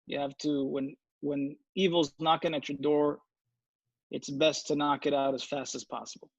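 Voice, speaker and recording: An earnest man from Israel talks as a podcast guest, stopping often to hunt for the right word. His voice sits a little high. The recording is dry and dull, with a muffled lo-fi tone. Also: little pitch movement, no room sound. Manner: earnest, searching for words with many pauses and fillers. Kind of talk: casual interview conversation.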